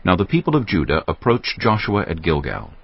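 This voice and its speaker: A man giving a measured, solemn scripted narration of scripture for an audio Bible. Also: low voice, wildly swooping pitch, slightly rough, lo-fi sound. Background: prominent background music.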